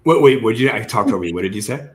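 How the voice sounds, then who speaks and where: A man's voice: fairly low pitch, expressive, rapid-fire. A man hosting an interview, asking long conversational questions that he tentatively rephrases as he goes. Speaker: interview host.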